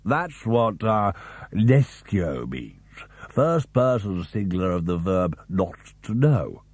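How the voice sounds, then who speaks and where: A man's voice: sits low, sing-song, slow pace, darkish tone, slightly rough, no echo, voice pushed down, heavily acted. A man voicing a nervous, scholarly former Latin teacher with a hesitant, precise way of talking, as in an audiobook. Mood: wary, hesitant. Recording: dry cassette tape recording.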